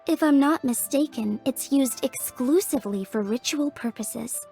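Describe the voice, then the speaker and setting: High-voiced, earnest young game heroine. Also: female voice, a little slow, creaky, dark tone, husky, faint music behind, full character voice. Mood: polite. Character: polite, earnest, excitable young craftswoman minding a shop. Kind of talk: video game voice acting.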